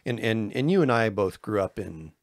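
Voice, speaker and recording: A man's voice: fairly low pitch, lively intonation, normal speed, no room sound, studio quality. A man co-hosting a podcast, talking in a loose, rambling way and joking at his own expense. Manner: loose and rambling, with self-deprecating jokes. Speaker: podcast co-host. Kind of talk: casual podcast chat.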